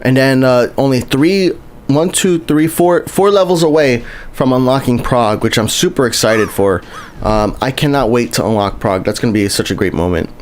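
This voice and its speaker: A man's voice: medium pitch, moderate pace, slight background noise. Chatty male game streamer, rambling. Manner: rambling and chatty, lots of restarts, greets chat viewers. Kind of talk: casual live-stream commentary while playing.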